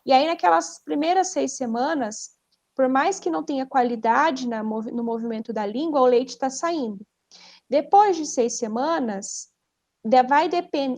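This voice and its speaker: A woman with a Brazilian Portuguese accent, a speech therapist explaining conversationally as a podcast guest, didactic, posing questions and then answering them. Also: high-pitched.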